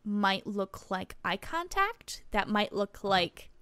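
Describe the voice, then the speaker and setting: A woman's voice: mid-range voice, very animated, slow pace, fairly bright tone, smooth, no echo. A woman playing a chatty, helpful small-town reporter, friendly and explanatory, in a tabletop roleplaying game. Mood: earnest, instructive. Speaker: game master.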